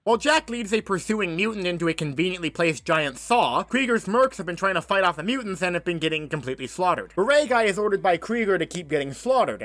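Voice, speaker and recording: A young man narrating a comedic movie review in a sarcastic, mocking tone with quick asides. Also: fairly high pitch, fairly bright tone, no echo.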